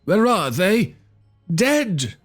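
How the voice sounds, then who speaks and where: Casting: a man playing a gaunt old warrior chief, frothing with rage, high and screeching. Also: sing-song, very slow, faint music behind, heavily acted. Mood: furious accusing. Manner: screeching, frenzied shouting.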